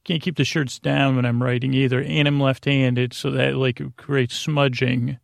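A man hosting a bedtime podcast in a creaky, lulling voice, rambling and stammering as he wanders through halting, self-interrupted sentences. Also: medium pitch, level tone, no echo, studio quality. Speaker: podcast host.

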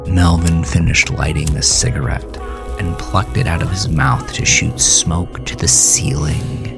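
A man's voice: sits low, steady tone, measured pace, vocal fry. A man narrating a scripted horror story as a dramatic reading. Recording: music bed behind narration.

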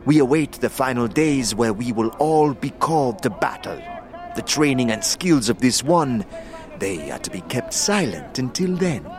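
A man's voice: medium pitch, animated, normal speed, close to an everyday voice. A man voicing a male warrior monk bound by a vow of peace, speaking stiffly and formally of himself as "this one". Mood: solemn. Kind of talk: tabletop audio drama.

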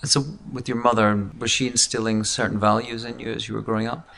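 A man's voice: on the low side, flat delivery, fast talker, gravelly, fairly bright tone. A man hosting an interview podcast, asking short probing questions and giving brief acknowledgements. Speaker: podcast host.

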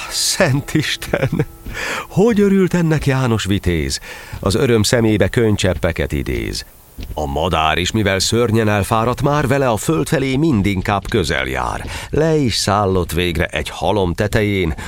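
A man narrating a verse tale for an audio drama in a theatrical, declamatory storytelling style. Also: on the low side. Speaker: narrator and actor. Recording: faint noise, sound-effect ambience behind.

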